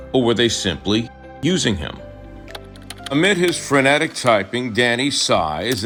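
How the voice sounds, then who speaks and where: A man's voice: medium pitch, a bit bright. A man narrates a radio drama from a script. His voice sits in the middle range and moves expressively up and down through each scene.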